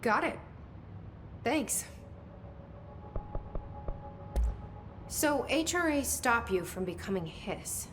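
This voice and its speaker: A woman's voice: on the high side, big pitch swings, slow pace, background noise. A woman playing a guarded, searching new agency director in a video game, speaking tersely and introspectively. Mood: guarded, curious.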